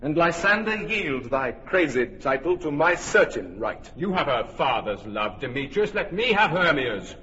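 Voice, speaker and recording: A man's voice: fairly high pitch, very animated, a little slow, hardly any pauses, sharp tone, a little hiss or hum, exaggerated voice. An actor playing a bold young suitor who proudly defies his rival in an old radio play. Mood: defiant. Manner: proud, defiant verse speech. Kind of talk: old radio verse drama. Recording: reverberant dull old radio recording.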